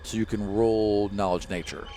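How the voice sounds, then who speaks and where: A man's voice: on the low side, fairly even intonation, normal speed, mellow, slight background noise. A man narrating a tabletop game as game master, improvising dramatic descriptions with casual asides. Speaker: game master and player on an actual play podcast.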